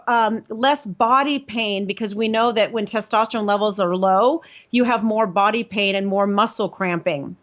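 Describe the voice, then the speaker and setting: A woman hosting a health talk show, explaining in an emphatic, lively way. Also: a little high. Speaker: host. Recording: slightly dull lo-fi sound.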